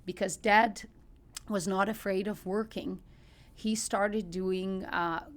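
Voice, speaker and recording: A woman's voice: mid-range voice, fairly even intonation, slow pace. A middle-aged woman from Ukraine with a Ukrainian accent, telling personal stories conversationally as a podcast guest, earnest and emphatic.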